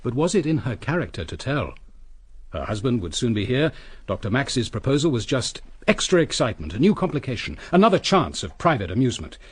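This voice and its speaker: A husky British detective inspector, methodical and cool. His delivery swings widely in pitch as he deliberately reconstructs events, as in a radio murder mystery. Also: big pitch swings. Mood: reflective, intent. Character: coolly brilliant male police inspector, methodical and probing.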